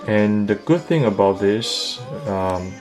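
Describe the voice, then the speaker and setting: A man with a low-pitched voice walks through a product in a casual review style. He explains step by step, somewhat hesitantly, with faint music underneath. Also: lively intonation, slow.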